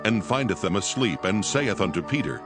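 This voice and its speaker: A man's voice: on the low side, lively intonation, fairly quick. A man narrating scripture from a script, in a solemn storytelling manner. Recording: background music under the voice.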